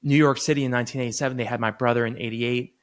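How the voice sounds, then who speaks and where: Easygoing man in his thirties, medium pitch. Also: very fast. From United States, grew up in Florida. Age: 30s. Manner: relaxed, easygoing, laughs readily. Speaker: surgeon, podcast guest. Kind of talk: casual interview chat.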